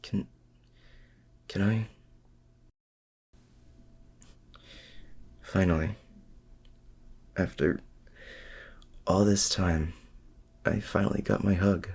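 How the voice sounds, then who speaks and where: A man playing a lovestruck, protective supervillain in an intimate ASMR roleplay, speaking in a halting, earnest way with a gravelly, husky voice. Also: deep voice, fairly even intonation, darkish tone, exaggerated voice. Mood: tender, relieved. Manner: halting, earnest, with hesitant restarts. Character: lovestruck male supervillain, vulnerable, protective, confessing.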